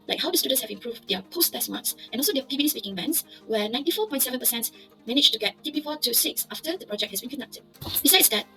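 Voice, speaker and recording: Teacher, woman, fast and bright scripted pitch over soft music. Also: poor audio. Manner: brisk, formal and upbeat. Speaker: English teacher. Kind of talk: scripted project presentation.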